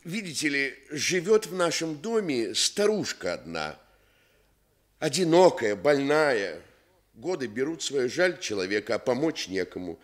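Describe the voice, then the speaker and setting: An actor in a Soviet radio play voicing a pompous, self-important housing activist who speechifies officiously with false modesty. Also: male voice, medium pitch, sing-song, a little slow. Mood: ingratiating, complaining. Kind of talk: Soviet radio theatre acting.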